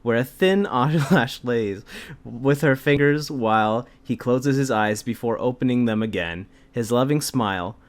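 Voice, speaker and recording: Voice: mid-range voice, a little slow. A reader in their twenties giving a dramatic reading, often breaking character with giggly, incredulous commentary. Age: 20s. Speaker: host of a comedic dramatic fanfiction reading.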